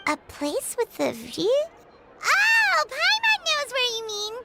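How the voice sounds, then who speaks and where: A tiny floating companion chirps in a very high, squeaky voice with a lively, bouncing melody. She talks about herself in the third person, all excitement. Game music plays underneath. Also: slow, vocal fry, full character voice. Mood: excited. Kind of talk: game voice acting.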